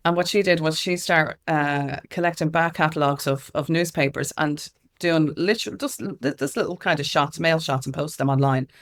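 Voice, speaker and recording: A woman's voice: low voice, moderate pace, hardly any pauses. An Irish woman giving candid, informal and emphatic answers as an interview guest, speaking as an activist. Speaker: guest, activist and music consultant.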